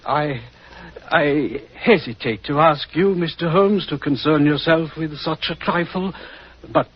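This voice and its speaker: A fussy country rector in an old radio play. The voice is fairly high and husky, formal yet flustered and hesitant, on a dull vintage recording. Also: male voice, a little slow. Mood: agitated. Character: fussy, agitated, apologetic English country rector. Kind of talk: 1940s radio acting.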